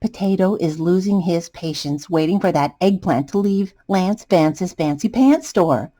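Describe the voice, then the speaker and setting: A woman reading a picture book to children as an expressive storytime narrator. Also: fairly low pitch, measured pace.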